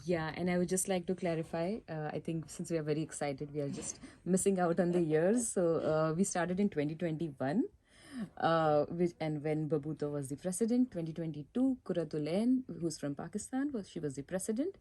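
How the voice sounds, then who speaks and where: A woman's voice: low-pitched, a little fast, mellow. A woman from Mumbai, explaining things earnestly with some fillers as society president on a campus radio chat show. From India, Mumbai.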